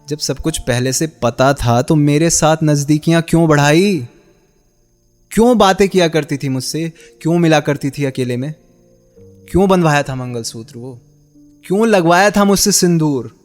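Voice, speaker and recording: A heartbroken young husband in a radio drama, played by a male actor, speaks in a slightly high voice. He is bitter and sarcastic, throwing accusing rhetorical questions at his former love. Music plays beneath the dialogue. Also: moderate pace, a little mellow, lightly acted. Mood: accusing, hurt. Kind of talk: Hindi radio play acting.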